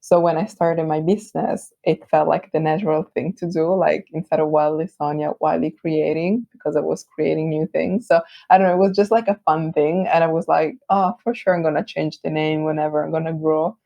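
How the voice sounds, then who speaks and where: A woman with an Italian accent whose first language is Italian, chatting as an interview guest, friendly and quick to laugh. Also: low voice, steady tone, creaky, warm tone, no echo, highs cut off. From Italy. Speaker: guest, brand designer. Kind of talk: casual interview chat.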